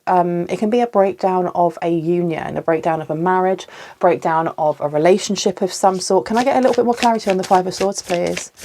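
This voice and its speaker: A woman's voice: medium pitch, darkish tone, slight background noise. Woman reading tarot, slightly sniffly. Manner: musing aloud, pausing to ask the cards for clarity. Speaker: tarot reader. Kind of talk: solo tarot reading to camera.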